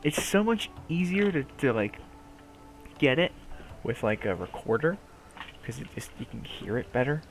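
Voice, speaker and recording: A man's voice: medium pitch, sing-song, moderate pace, pauses often, soft background music, rough recording. A man with a nasal voice rambling casually and playfully to his viewers, swearing now and then. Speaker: streamer talking to viewers. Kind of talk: casual rambling chat to camera.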